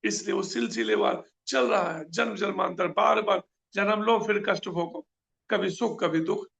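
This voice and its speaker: Gravelly high-voiced preacher. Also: male voice, normal speed, fairly bright tone. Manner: earnest, rhetorical questions. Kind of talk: scripture class.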